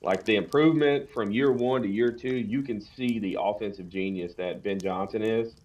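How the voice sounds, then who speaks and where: A man's voice: lowish voice, normal speed, darkish tone, lo-fi sound. A man co-hosting a podcast, chatting casually about sports in a colloquial, opinionated way. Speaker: podcast co-host.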